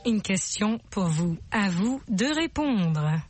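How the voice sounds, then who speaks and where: A woman's voice: mid-range voice, very animated, slow, a little mellow, faint music behind. Woman presenting a language lesson. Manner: instructional, asks for repetition. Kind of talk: scripted bilingual narration.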